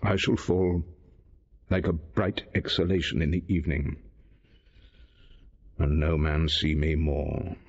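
An actor playing a proud, scheming cardinal facing ruin, with declamatory, measured classical stage delivery in an audio drama. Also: male voice, extremely low pitch, little pitch movement, darkish tone, muffled recording, exaggerated voice. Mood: brooding, despairing.

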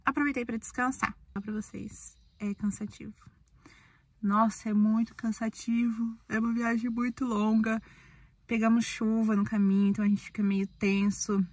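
A young Brazilian woman with a Brazilian accent, chatting casually to camera from a car on the road, rambling and chatty with bursts of excited talk. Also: fairly high pitch, normal speed, no room sound. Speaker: vlogger. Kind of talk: casual vlog chat to camera.

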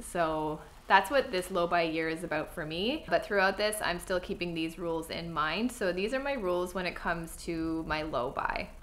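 Bright-voiced young woman vlogging, slightly stuffy. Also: on the low side. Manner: earnest, chatty, lots of fillers. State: slightly congested from a cold. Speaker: style vlogger. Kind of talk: casual talk to camera. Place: home room with clothing rack.

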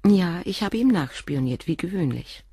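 An actress playing a dutiful, watchful wife in a radio crime drama, speaking in a measured, formal and emphatic way. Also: female voice, lowish voice, expressive, dark tone, husky, no echo, studio quality. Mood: firm, defensive. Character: dutiful, watchful wife guarding her husband.

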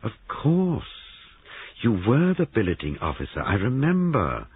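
Man playing a high-voiced, anxious young wife. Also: wildly swooping pitch, a little slow, husky, dry recording, narrow, tinny audio, full character voice. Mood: hushed realization.

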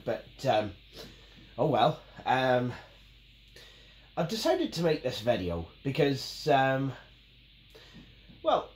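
Scottish man, nasal from a cold. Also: fairly low pitch. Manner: rambling, with many ums and restarts. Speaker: tech video host. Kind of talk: casual unboxing and review. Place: small home office, indoors. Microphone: camera mic at the desk. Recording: roomy, faintly noisy home recording.

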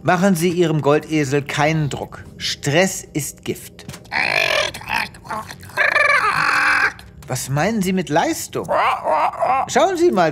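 An actor playing a self-important space veterinarian with a pompous manner in a comedic audio drama. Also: male voice, on the high side, a little hiss or hum, natural voice. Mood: defensive. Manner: pompous, reassuring bedside chatter.

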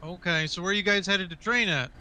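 A man's voice: high voice, quick pace, pushed and bright. A man roleplaying a sheriff's deputy at a traffic stop, polite and businesslike, speaking over voice chat. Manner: polite, businesslike officer talk.

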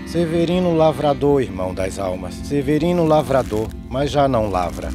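A man playing a grave, matter-of-fact peasant pallbearer, reciting rhythmic verse in an animated film. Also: mid-range voice, normal speed, natural voice. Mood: grave, resigned. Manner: solemn, rhythmic, dropping on refrains. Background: music.

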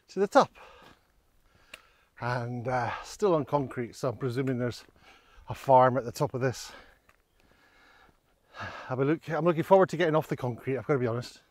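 A middle-aged man talks to his handheld camera while out walking. His pitch sits in the middle range for a man. He gives a casual, wry commentary with self-deprecating, hesitant asides. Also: animated, moderate pace, pauses often, dry recording. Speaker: vlogger, walking guide. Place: outdoors on village streets and country lanes. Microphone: handheld camera at arm's length.

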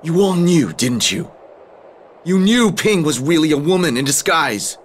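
An actor playing a stern, proud young army captain in a video game, with a commanding, formal delivery. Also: male voice, a little high, expressive, measured pace, slight background noise. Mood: shocked, angry. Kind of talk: dramatic video game acting.

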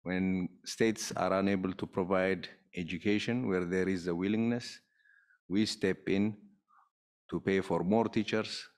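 A man with a deep, low-set voice addresses a formal panel as an official. He explains in measured, deliberate phrases and frames his points with rhetorical questions. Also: fairly even intonation, a little mellow.

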